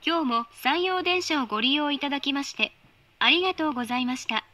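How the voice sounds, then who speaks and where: A woman giving polite, formal automated train announcements in a measured voice. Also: high-pitched, expressive, bright, forceful tone.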